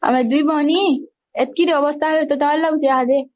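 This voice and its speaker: A woman's voice: high voice, fairly even intonation, moderate pace. A young woman arguing accusingly in colloquial speech over a recorded phone call. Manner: accusatory, confrontational. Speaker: daughter in a family phone argument.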